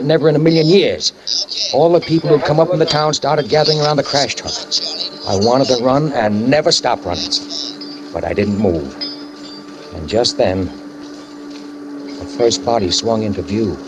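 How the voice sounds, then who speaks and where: A man's voice: medium pitch, lightly acted, low-quality recording. An actor playing a guilt-ridden male hit-and-run driver who narrates tensely in the first person, in a 1940s radio drama. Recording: old radio broadcast with music.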